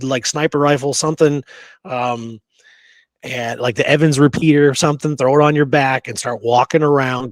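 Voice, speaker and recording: A man's voice: medium pitch, no room sound. A man chatting casually and enthusiastically as a co-host, peppering his talk with slangy, friendly words. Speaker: co-host of a history talk show. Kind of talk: casual chat.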